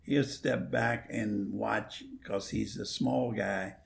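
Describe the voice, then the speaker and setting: Very gravelly middle-aged man. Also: lowish voice, fairly even intonation, slow, a little hiss or hum. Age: middle-aged or older. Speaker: guest giving his impressions. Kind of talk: casual chat.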